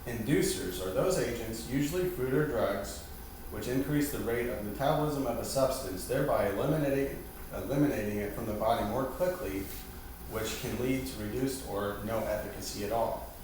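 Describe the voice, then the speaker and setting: A man reads out a formal lesson as an instructor. He sounds distant from the microphone in a heavily reverberant room, with clear noise behind him. His delivery is scripted, with small stumbles on technical words. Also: mid-range voice, moderate pace. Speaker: instructor leading a staged class. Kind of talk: scripted lesson presentation, reading aloud. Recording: very echoey room, far mic, loud background hiss.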